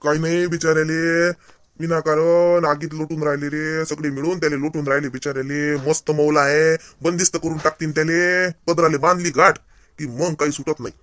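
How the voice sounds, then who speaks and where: A man plays a henpecked cartoon husband with a fairly high male voice and a Vidarbha Marathi accent. He grumbles with sarcastic, mock-tragic emphasis, stretching words for comic effect. The sound is dry, without room echo. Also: little pitch movement, fairly quick, fairly bright tone, close to an everyday voice. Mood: mock pitying. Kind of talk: comedy cartoon dialogue.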